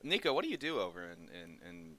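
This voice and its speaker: A man in his thirties hosts a livestream in an easygoing way, laughing and reading comments aloud. His voice is fairly low but bright and forceful. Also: wildly swooping pitch, fast talker. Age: 30s. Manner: loose, laughing, reads chat aloud. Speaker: host. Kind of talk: livestream chat.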